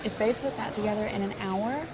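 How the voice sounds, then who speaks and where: An actress playing a food judge who speaks in a playful, teasing way. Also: female voice, mid-range voice, brisk, very noisy, natural voice. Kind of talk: film dialogue. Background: background music.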